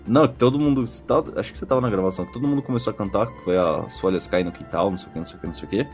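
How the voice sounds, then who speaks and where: Low-voiced Brazilian man, podcast banter. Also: racing, darkish tone. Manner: informal, jokey, slangy. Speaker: podcast host. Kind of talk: casual chat, reading listener comments. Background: background music.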